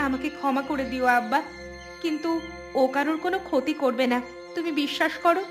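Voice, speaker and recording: Cartoon daughter, played by a woman, young female student, defiant, high-pitched, clear background music. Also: fairly quick, close to an everyday voice. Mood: pleading, earnest. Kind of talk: cartoon story dialogue.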